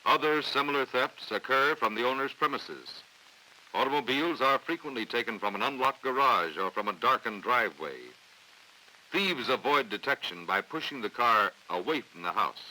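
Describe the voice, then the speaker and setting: An American man reading scripted training film narration in a formal, authoritative, measured way on a vintage 1950s soundtrack. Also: medium pitch, animated, bright, forceful tone, rough recording. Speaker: documentary narrator.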